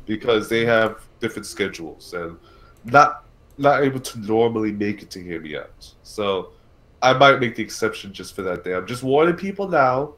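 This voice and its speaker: A man hosting a casual podcast livestream about films and games, talking haltingly and repeating words as he thinks aloud. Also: fairly low pitch, expressive, a bit bright.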